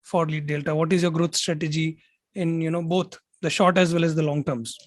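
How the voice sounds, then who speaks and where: A man hosting a podcast who puts measured questions to his guest, with a few fillers. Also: on the high side, steady tone, no room sound, studio quality. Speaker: podcast host. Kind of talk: casual podcast interview.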